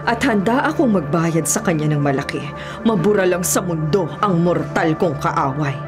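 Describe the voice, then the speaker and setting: A woman's voice: fairly low pitch, animated, normal speed, close to an everyday voice. An actress playing melodramatic radio drama women, an anxious wife and a ruthless businesswoman. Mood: cold, scheming. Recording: music and crowd noise behind.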